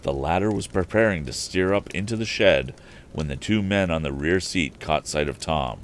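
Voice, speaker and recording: A man's voice: deep voice, expressive, moderate pace, slight background noise. A man reading an old adventure novel aloud as a storyteller, expressively and with the occasional stumble. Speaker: storyteller on a live stream.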